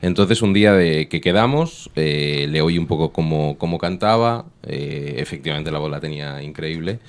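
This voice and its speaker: Guest rapper, Spanish accent, very low voice, unhurried studio interview. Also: male voice. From Spain. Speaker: rap artist guest. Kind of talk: interview answers. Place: radio studio. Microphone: studio microphone.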